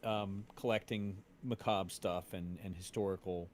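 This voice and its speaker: A man co-hosting a casual chat, speaking in a rambling, halting way. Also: low voice, fairly even intonation. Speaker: show co-host. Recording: faint background noise.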